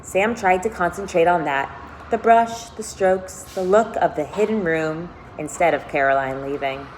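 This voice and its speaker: A woman teacher reading a children's novel aloud to her class, speaking deliberately and pausing to think aloud with questions. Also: lowish voice, slight background noise, low-quality recording. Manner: deliberate, questioning, stressing key words for young listeners.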